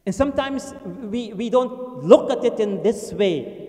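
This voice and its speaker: A man's voice: sits high, lively intonation, mellow. Emphatic man preaching in an echoey hall. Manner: earnest and emphatic, repeating phrases, slows for stress. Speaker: imam giving Friday sermon. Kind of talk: sermon. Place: mosque prayer hall. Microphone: stand mic at a lectern.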